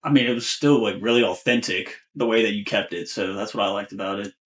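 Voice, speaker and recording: A man's voice: fairly low pitch, fast, fairly bright tone. A young American man co-hosting a podcast, chatting casually and chiming in agreeably with supportive comments. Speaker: podcast co-host. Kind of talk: casual chat.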